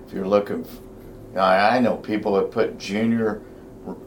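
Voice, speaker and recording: A man with a loud voice speaking bluntly and emphatically as an interview guest, repeating himself for emphasis. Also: lowish voice, little pitch movement, a little slow, slight background noise. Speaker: guest, investment newsletter writer. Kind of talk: conversational interview about investing.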